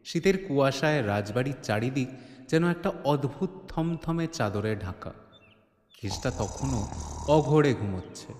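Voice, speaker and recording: A man's voice: medium pitch, a little mellow. A man narrating an audio drama as a suspenseful, theatrical storyteller. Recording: echoey, long reverb, music behind. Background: music.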